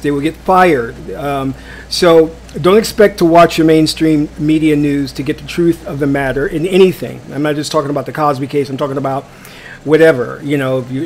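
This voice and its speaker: A man hosting his own live online broadcast, sounding stuffy from a cold and talking in a halting way with many fillers and restarts. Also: a little high. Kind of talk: casual live commentary. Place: indoors, warm room. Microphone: adjustable microphone.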